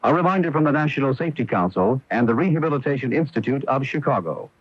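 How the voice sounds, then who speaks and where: A man's voice: medium pitch, expressive, fast talker, a little hiss or hum. A man reading a scripted safety announcement as a public service radio announcer. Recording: muffled vintage AM radio broadcast, dry.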